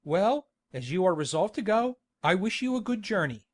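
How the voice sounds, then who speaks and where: A hearty country gentleman, as a woman reader puts him on, bluff and insistent. His voice bounces about in a lively way as he coaxes. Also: medium pitch, normal speed. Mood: coaxing, bluff.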